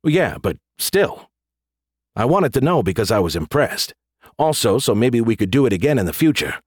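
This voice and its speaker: A man's voice: mid-range voice, big pitch swings, normal speed, breathy edge, dry recording, clean studio sound. A man voicing an irreverent, sarcastic military commander in an audiobook, with a casual, teasing way of talking. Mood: curious. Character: wisecracking, irreverent male military commander of space pirates. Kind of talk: audiobook character dialogue.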